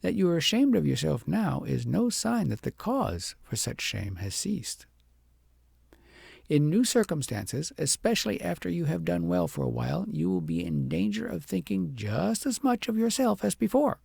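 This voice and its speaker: Caring, stern fairytale wise woman read by a man, husky, gravelly, solemnly cautioning. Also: a little high, sing-song, moderate pace, darkish tone, no room sound, voice pushed up, exaggerated voice. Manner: solemn, admonishing.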